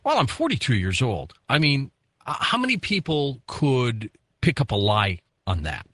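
A man's voice: medium pitch, wildly swooping pitch, normal speed, fairly bright tone, dry recording. A man in his fifties hosting a radio talk show, asking his guest curious, chatty questions. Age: 50s. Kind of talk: radio interview.

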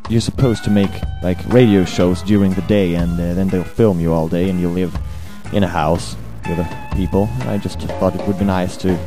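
A young Swedish man with a Swedish accent, hungover, rambling crude thoughts into a home mic in a hesitant, deadpan way. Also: sits low, moderate pace, few pauses. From Sweden. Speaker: amateur audio ranter. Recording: home recording with buzz and music.

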